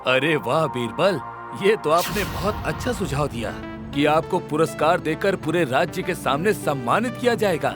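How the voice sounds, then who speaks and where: Regal, demanding cartoon emperor, played by a man, fairly high voice, music bed behind. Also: lively intonation, close to an everyday voice. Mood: pleased. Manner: commanding, quick to demand answers. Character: regal impatient middle-aged male emperor. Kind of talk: animated storybook voice acting. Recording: background music under voice.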